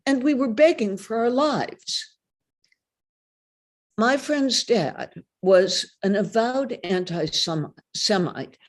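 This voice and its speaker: A woman reads literary fiction aloud in the first person. Her pace is slow and deliberate, and her intonation moves widely as she narrates. The delivery is that of a dramatic storyteller. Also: mid-range voice. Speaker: reader of a short story.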